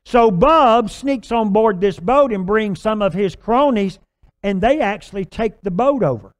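Older male pastor, high clear voice. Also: unhurried. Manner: animated, gesturing storyteller with filler restarts. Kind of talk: storytelling sermon. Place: large church stage with purple lights.

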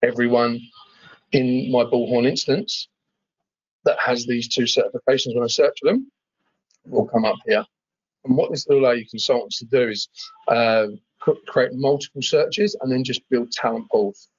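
A man giving an informal software walkthrough as a webinar presenter, hesitating and repeating words as he explains. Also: mid-range voice, steady tone, normal speed, husky, dry recording, lo-fi sound. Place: screen-share webinar voice-over.

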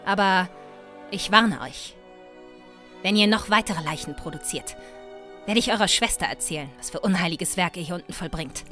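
A woman playing a bold, sharp-tongued, impatient young adventuress in a fan-made fantasy audio drama. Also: on the low side, moderate pace, long pauses, soft background music. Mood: dry, stern. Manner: sarcastic, bossy.

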